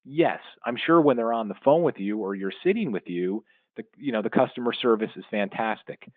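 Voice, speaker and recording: A man's voice: medium pitch, lively intonation, a little fast, no echo. A middle-aged man giving an informal advice podcast monologue in an explanatory, conversational way, leaning on his key points. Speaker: podcast host and marketing consultant. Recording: muffled, narrow phone-like sound, dry.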